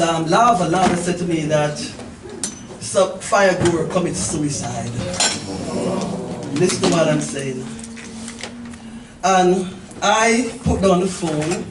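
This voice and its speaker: A woman's voice: low-pitched, expressive, slow, long pauses. A middle-aged woman, telling a story informally and emphatically to a gathering as its host, repeating phrases and checking in with listeners. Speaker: event organizer and host. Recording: echoey, distant mic, noisy live gathering.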